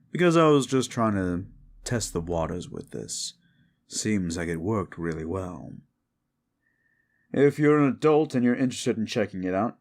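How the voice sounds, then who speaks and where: A man's voice: lowish voice, a little mellow. A man who makes audio roleplays, speaking casually to his listeners as himself. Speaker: audio roleplay creator and performer. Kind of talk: casual outro addressed to listeners.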